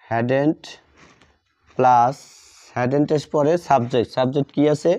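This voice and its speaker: A man teaching a grammar lesson step by step, deliberately repeating phrases and answering his own questions. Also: mid-range voice, steady tone, dark tone. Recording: dull lo-fi sound.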